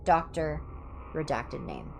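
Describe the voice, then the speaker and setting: A woman's voice: deep voice, very animated, slow, gravelly, a little mellow, a little hiss or hum. A woman livestreamer reading horror entries aloud in an ASMR style, with casual asides.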